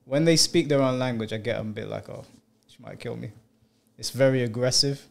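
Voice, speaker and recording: A man's voice: medium pitch, brisk, creaky. Chatty young man telling stories. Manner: chatty, tells stories with mock voices. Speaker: podcast co-host. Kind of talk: casual chat.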